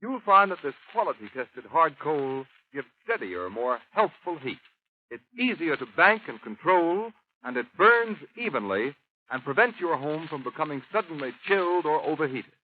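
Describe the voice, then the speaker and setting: Lively, high-pitched 1930s radio announcer man. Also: moderate pace. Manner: emphatic, persuasive salesmanship. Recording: tinny, noisy 1930s radio recording.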